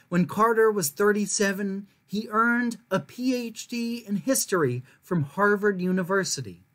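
A man's voice: sits high, a bit bright. A young adult man reading aloud as a children's storytime host, with careful, emphatic delivery. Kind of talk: reading a picture book aloud to viewers.

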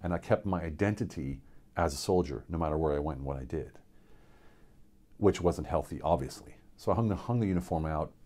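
A middle-aged man from Pennsylvania, a military veteran, gives an unscripted personal testimony in a studio, earnest and reflective, with many halting fillers and restarts. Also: rumbling low, warm tone. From central Pennsylvania, USA. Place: indoor studio with dark backdrop.

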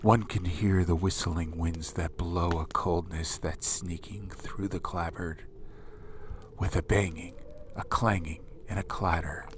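A solemn, ancient monk, put on by a male storyteller, speaking slowly in a very deep, hushed, half-whispered chant. Noise hums steadily behind the voice. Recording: steady ambient noise bed.

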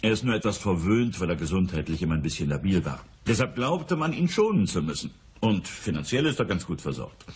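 An actor playing a casual, wryly humorous wealthy businessman in a radio crime drama, with a very rough, husky voice. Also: low voice, sing-song, fairly quick, darkish tone, exaggerated voice. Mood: easygoing. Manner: salopp, humorous, chuckling asides.

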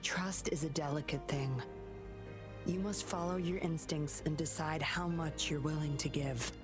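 An actress voicing a sly, teasing young puppeteer woman in a game, low and smooth. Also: steady tone, measured pace, music in the background. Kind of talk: game voice acting.

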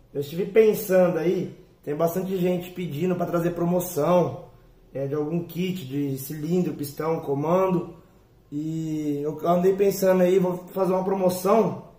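A young hoarse man from inland São Paulo, chatting casually to viewers from his shop, filling his talk with "ó" and "galera". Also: sits high, warm tone. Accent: Brazilian Portuguese, São Paulo interior. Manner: chatty, repeats "ó" and "galera" as fillers. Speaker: motorcycle parts shop owner. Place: small shop with shelves of parts, indoors. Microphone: far camera. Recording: echoey room, mic at a distance.

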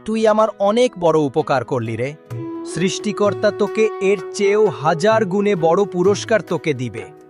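A man voices an old, starving beggar in a cartoon tale. The voice is raised well above a usual male pitch, plaintive and weak. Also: expressive, moderate pace, over loud music. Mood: grateful. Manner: pleading, plaintive. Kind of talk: cartoon story acting.

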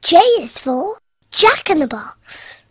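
A woman voicing a cheerful little girl piglet in a cartoon, reciting the alphabet in a bright, giggly sing-song. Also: high voice, very animated, slow, no echo, studio quality, exaggerated voice.

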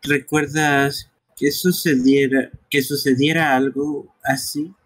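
A man's voice: medium pitch, level tone, slow pace, a bit bright, slightly rough. A man streaming a live fan dub of a video game, reading its dialogue aloud in character. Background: game music.

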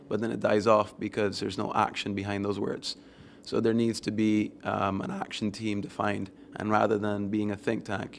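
A man from Barbados answering interview questions in a measured, reflective way with frequent ums, speaking into a handheld mic at an event venue. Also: fairly low pitch, creaky, slight background noise. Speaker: interview guest. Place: event venue with windows, seated on sofa.

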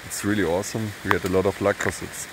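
A young man from Germany talking to his phone camera outdoors in the mountains, in a relaxed, halting way. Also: deep voice, heavy background noise. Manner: relaxed, halting, plain-spoken. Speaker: hiking vlogger. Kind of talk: casual vlog narration. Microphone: phone at arm's length.

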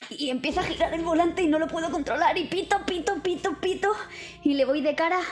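Woman rpg player, highish voice, talking casually through her moves, light music behind. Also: a little mellow. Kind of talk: casual in-character tabletop roleplay.

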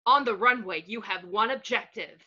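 A woman's voice: medium pitch, moderate pace, bright, forceful tone. A woman voicing a flamboyant, villainous male fashion mogul in a theatrical, sing-song, commanding way for a comedic script read. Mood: sinister, commanding. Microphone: video call. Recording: muffled lo-fi video call.

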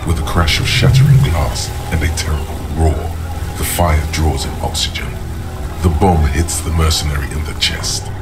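An actor voicing a grave, all-knowing inner voice in a detective's head, narrating a video game in a measured, solemn way. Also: male voice, fairly even intonation, loud music behind the voice. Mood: solemn, awed. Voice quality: deep, gravelly.

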